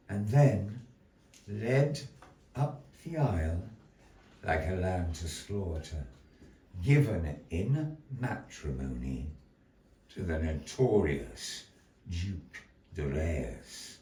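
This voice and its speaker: A man telling a dark fairy tale live in a florid, dramatic manner, with deliberate pauses. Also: fairly low pitch. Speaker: storyteller in a puppet show. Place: indoor gallery performance space. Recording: echoey room, distant mic.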